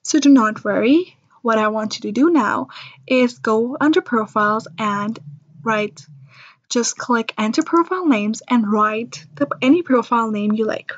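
A woman narrating an informal screen-recorded software demo in a chatty, reassuring, step-by-step way. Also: a little high, a little slow, no echo, dull audio. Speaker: software demonstrator.

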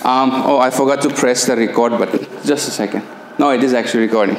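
A man's voice: mid-range voice, fast talker. Echoey, chatty Pakistani-accented man. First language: Persian. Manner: chatty, engaging, asks audience questions. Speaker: NLP coach and trainer. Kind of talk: live seminar presentation. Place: seminar room with projector screen. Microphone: handheld microphone. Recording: echoey room, faint hum.

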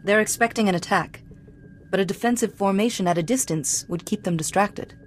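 An actress playing a composed, resolute young female warrior-monk leader in a video game, measured and calm. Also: mid-range voice, slight background noise, natural voice. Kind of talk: video game cutscene acting.

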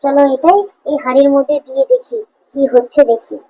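A woman plays a high-pitched village wife in a cartoon fairy tale. She is caring and excitable, fussing over her husband with an expressive delivery. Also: brisk, poor audio. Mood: curious, grateful.

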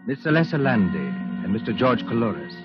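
A man narrating a 1940s radio suspense show in a solemn, measured, theatrical way. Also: medium pitch, big pitch swings, natural voice. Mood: solemn, suspenseful. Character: ominous male narrator of a suspense tale. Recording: dull old 1940s radio broadcast. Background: loud music.

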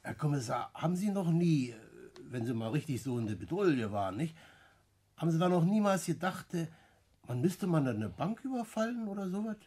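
An actor playing a wily, talkative small-time crook, chummy and evasive, in a 1960s German radio play. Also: male voice, fairly high pitch, very animated, warm tone, slightly rough. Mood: cheekily innocent. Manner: chummy, evasive, halting repetitions. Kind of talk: German radio play acting around 1960.

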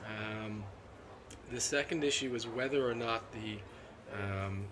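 A middle-aged man, a cardiologist being interviewed at a conference, talks in a measured, analytical way with frequent ums and restarts. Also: mid-range voice, noisy background. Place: seated at a conference booth indoors. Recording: constant conference hall ambience.

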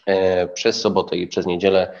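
A man in his thirties co-hosting a live festival stream from a studio, chatty and filling pauses with hesitations. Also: sits low, level tone, a little mellow, muffled recording. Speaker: festival co-host. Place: studio set in an institute.

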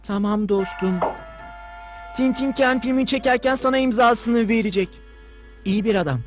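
A woman's voice: a little high, normal speed, natural voice. A woman voicing a plucky, earnest young rich boy hero in a dubbed cartoon. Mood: friendly. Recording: music behind the voice.

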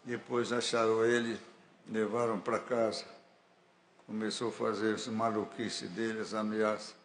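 A man's voice: on the low side, a little hiss or hum. A man with a Brazilian Portuguese accent preaching a fervent sermon in a church hall, building to emphatic declarations. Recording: echoey church hall with faint ambience.

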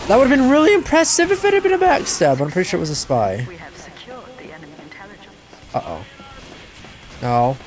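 A young man giving casual gameplay commentary, excitable, yelping and laughing at the action, his voice a little stuffed up. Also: extremely high pitch, moderate pace, pauses often. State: a bit stuffed up from crying. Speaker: gaming channel host.

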